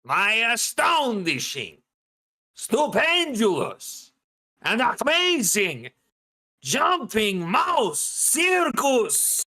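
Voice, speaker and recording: An actor playing a flamboyant Russian circus ringmaster in a table read, with a showy, drawn-out delivery and a Russian accent. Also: mid-range voice, wildly swooping pitch, sharp tone, full character voice. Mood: grandiose. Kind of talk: theatrical announcing in a screenplay table read.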